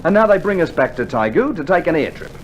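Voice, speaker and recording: A man's voice: a little high, big pitch swings, fast talker, lo-fi sound. An actor playing a cheeky, skeptical young Australian infantryman with dry sarcastic banter, in a scripted mid-century radio drama. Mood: teasing, sardonic. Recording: noisy old vinyl radio drama recording.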